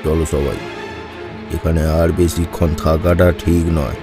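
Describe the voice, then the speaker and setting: Guy doing a really deep serious village uncle who hunts ghosts, horror cartoon, music behind. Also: fairly even intonation, unhurried. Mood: resolute. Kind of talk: dramatized horror cartoon dialogue.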